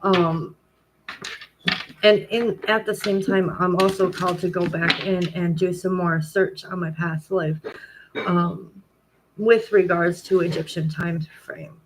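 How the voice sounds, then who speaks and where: A woman's voice: on the low side, steady tone, takes it slowly. Woman tarot reader, casual rambling chat, commanding tone, light background hiss. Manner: rambling, self-correcting, frequent "like" and "um".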